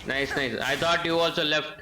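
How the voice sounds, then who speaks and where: A man's voice: a little high, fairly even intonation, normal speed, music in the background, poor audio. A man, chatting casually with viewers as he streams a game, often repeating short phrases. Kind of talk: casual live gameplay chat with viewers.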